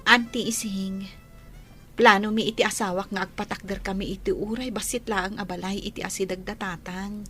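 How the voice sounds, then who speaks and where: A woman with a Filipino accent playing a gentle, grateful young wife in an audio drama. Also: mid-range voice, fairly even intonation, moderate pace, soft background music, close to an everyday voice. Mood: hopeful, grateful.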